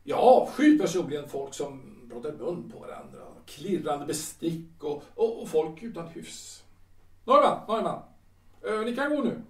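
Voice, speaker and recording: An actor playing a haughty, fussy café owner in a period audio drama, pompous and hesitant in manner. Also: male voice, fairly high pitch, big pitch swings. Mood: irritated, commanding. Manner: pompous, hesitant with drawn-out ers. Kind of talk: period audio drama acting, 1930s setting. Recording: roomy, slightly distant microphone.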